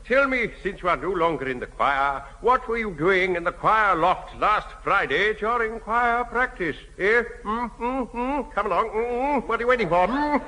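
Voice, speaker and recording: A man's voice: high voice, expressive, moderate pace, hardly any pauses, pushed and bright. A man playing a stern, pompous vicar in a 1970 British radio sitcom, questioning suspiciously and humming between remarks. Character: stern, fussy vicar interrogating with suspicious hums. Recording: hissy old radio recording, echoey hall.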